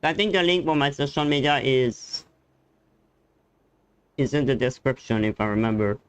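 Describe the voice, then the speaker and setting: A man's voice: medium pitch, fairly even intonation, normal speed, slight background noise. A man chatting casually as a game streamer, hesitant and rambling, often repeating words. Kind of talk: casual live-stream commentary and chat.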